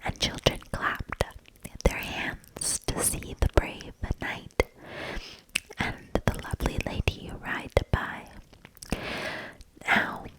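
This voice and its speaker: A woman reading a fairy tale in a soft, gentle ASMR whisper, breathy and calming. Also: slow, rough recording. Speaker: ASMR reader.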